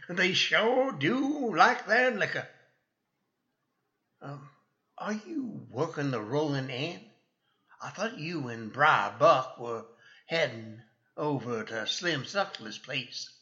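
A man's voice: fairly high pitch, pushed and bright, dull audio, full character voice. A man playing a genial, hesitant older small-town sheriff with a Western American drawl in a radio western. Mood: genial, bumbling. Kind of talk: old-time radio western acting.

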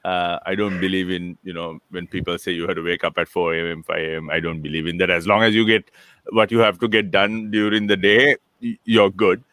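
Relaxed man on a video call. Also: sits low, brisk, no room sound. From Sri Lanka. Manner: relaxed, rambling, frequent restarts and chuckles. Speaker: interview guest, entrepreneur. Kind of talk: casual conversational interview.

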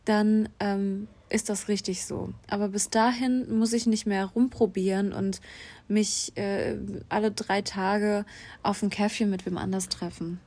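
A woman's voice: mid-range voice, steady tone, measured pace, a little mellow. A young woman co-hosting a podcast, chatting casually and outspokenly, often speaking straight to listeners. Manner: outspoken, addresses listeners directly. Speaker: podcast co-host. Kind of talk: casual chat.

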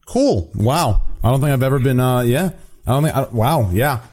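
Male podcast host, late twenties, excitable, casual chatter. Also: mid-range voice, normal speed. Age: 20s. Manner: excitable, says dude a lot. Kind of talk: casual group chat.

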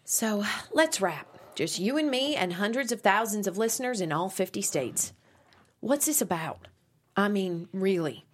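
An actress reading a pushy conservative talk radio host mother at a script table read, brisk and scolding. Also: mid-range voice, animated. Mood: prim.

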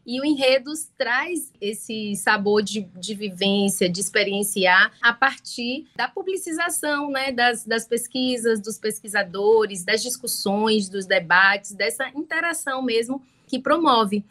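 A woman's voice: a little high, lively intonation, unhurried, few pauses, pushed and bright. A woman from Salvador with a Bahian Brazilian accent answers as an academic guest in an interview, often adding small tag questions as she goes. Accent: Bahian Brazilian Portuguese. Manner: frequent tag questions seeking agreement.

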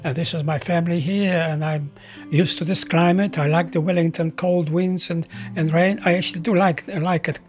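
A man in his eighties with a Polish accent speaks in a fairly high voice, stumbling and restarting as he talks. He keeps a wry, reflective tone. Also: steady tone, normal speed, hardly any pauses, faint music behind. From Poland. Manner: hesitant with many restarts, wry. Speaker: interviewee. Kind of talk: reflective personal talk.

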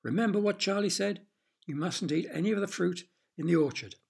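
Man plays a careful young boy warning his friend, a bit higher than normal, creaky husky voice. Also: brisk, full character voice. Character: sensible young boy, Derek.

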